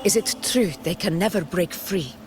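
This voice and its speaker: A woman's voice: lowish voice, moderate pace, a little mellow, soft background music, close to an everyday voice. An actress playing a resolute, stately woman warrior in a video game. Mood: pensive. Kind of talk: scripted video game voice acting.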